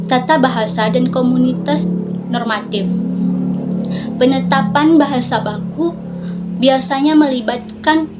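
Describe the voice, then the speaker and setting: A young woman speaks in a fairly high voice. She sounds like a student delivering a prepared presentation, adding explanations as she goes. Some noise sits behind her voice in the recording. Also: a little mellow. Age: young adult. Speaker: university biology student presenting an assignment. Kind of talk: scripted presentation with explanatory asides.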